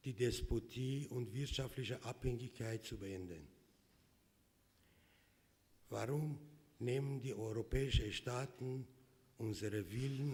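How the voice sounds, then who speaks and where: A man whose first language is Persian, from Iran, reading a prepared speech at a conference in slow, measured phrases. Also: medium pitch, darkish tone. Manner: slow, measured delivery with pauses between phrases. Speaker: conference speaker. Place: conference hall. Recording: reverberant hall recording.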